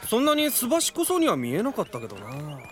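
An actor playing a brash, excitable young fighter in a Japanese radio drama, with a husky voice. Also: mid-range voice, quick pace, a little hiss or hum. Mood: teasing.

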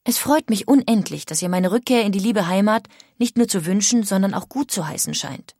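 A woman's voice: medium pitch, brisk, a little mellow, no room sound, studio quality, lightly acted. An actress playing an outspoken, critical emigrant woman of the 1800s, reading her letters home in a formal way. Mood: relieved, pleased. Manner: formal, opinionated. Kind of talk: dramatic reading of historical letters.

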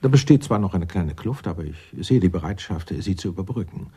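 An actor playing an arrogant, condescending murder suspect in a radio drama, pompous, sneering and wordy. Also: male voice, low-pitched, lively intonation, brisk, warm tone, breathy edge, exaggerated voice.

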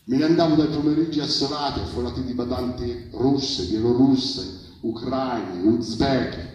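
A man's voice: mid-range voice, a little mellow. A man performing spoken-word poetry at a live reading, in an incantatory manner built on repeated refrains. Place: live public reading, heard from a distance. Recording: very echoey, distant live recording.

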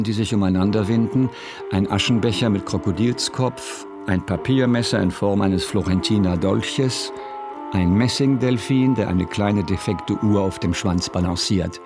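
Music plays behind a male actor as a radio-drama narrator with a very low voice. He tells the story in a measured, literary way, reflective and tinged with melancholy. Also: natural voice.

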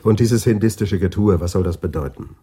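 An actor playing a direct, pressing police investigator in a German radio crime drama. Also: male voice, low-pitched, fairly quick, dark tone, slightly rough. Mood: inquisitive.

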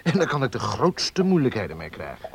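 An actor playing a charming, spoiled young student, smooth-talking and teasing in a radio drama. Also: male voice, mid-range voice, huge pitch swings, brisk, noisy background. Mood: sheepish, wheedling. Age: young adult.

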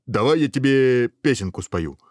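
A man voicing a huge, food-loving ogre in a storybook tale, earthy, unhurried and coaxing. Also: medium pitch, expressive, darkish tone, no echo, studio quality, pitched above the performer's own voice, close to an everyday voice. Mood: coaxing, kindly.

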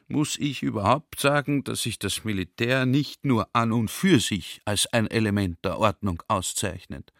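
A man's voice: medium pitch, fairly bright tone, no echo, clean studio sound. A man playing an army general in a radio play, convinced of military order and explaining it at length with self-assurance.

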